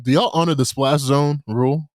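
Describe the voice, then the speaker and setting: A young man hosting a casual sports podcast, joking and animated with his co-hosts. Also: on the high side, moderate pace, dry recording, clean studio sound. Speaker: podcast host.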